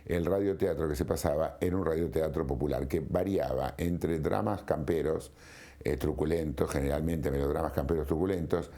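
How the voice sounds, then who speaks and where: An older man from Argentina with an Argentine accent, reminiscing and explaining in a halting, anecdotal interview style. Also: very deep voice, mellow.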